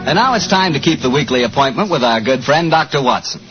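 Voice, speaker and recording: A man's voice: mid-range voice, lively intonation, fast. A man announcing and reading sponsor messages on a 1940s radio show, polished and upbeat. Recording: muffled old radio broadcast under music.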